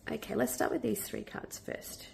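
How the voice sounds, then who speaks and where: A woman with a cold, a bit nasal and croaky, giving a casual, reassuring tarot reading full of fillers and restarts. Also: a little high, big pitch swings, normal speed, a little mellow, slight background noise. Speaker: tarot reader.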